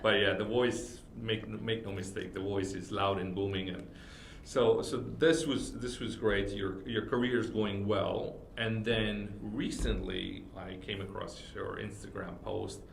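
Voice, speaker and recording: A man hosting a podcast interview, speaking haltingly and repeating words, with loud laughs. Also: fairly even intonation, vocal fry. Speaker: podcast host. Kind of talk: casual interview. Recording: roomy with faint rumble.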